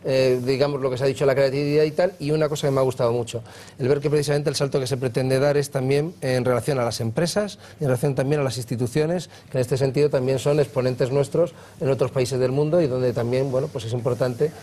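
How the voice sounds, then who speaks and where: A man's voice: mid-range voice, steady tone, warm tone. A middle-aged man, a panel guest from southern Spain, making his point emphatically and with repeated phrases in a TV studio debate. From Granada, Spain. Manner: fast, emphatic, repetitive phrasing. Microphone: lapel.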